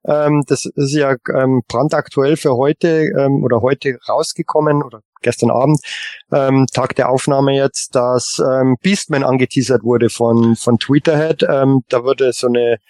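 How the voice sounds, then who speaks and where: A man giving casual commentary as a podcast panelist, with hesitant, halting phrasing. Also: medium pitch, steady tone, darkish tone, dry recording, studio quality.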